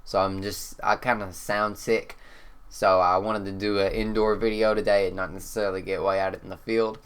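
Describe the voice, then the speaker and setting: A man with a Kentucky accent, congested and slightly hoarse, explaining one of his photos in a relaxed, rambling way with lots of "um.". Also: lowish voice, moderate pace. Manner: relaxed, rambling, frequent "um" fillers. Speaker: photographer and channel host. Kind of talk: casual talk-to-camera explainer. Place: indoors.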